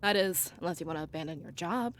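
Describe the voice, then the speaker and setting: A woman's voice: lowish voice, animated, fast talker, lightly acted. An actress voicing a resolute, shrewd woman advisor in a fantasy visual novel, speaking firmly and argumentatively. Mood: insistent, heated.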